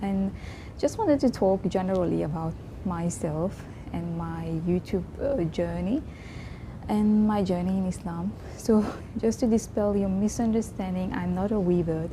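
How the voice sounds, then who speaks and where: A woman in her twenties from Mauritius, whose first language is Creole, talking earnestly and reflectively in a personal vlog, pausing and restarting often. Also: mid-range voice, background noise. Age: 20s. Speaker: vlogger. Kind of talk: casual personal vlog talk.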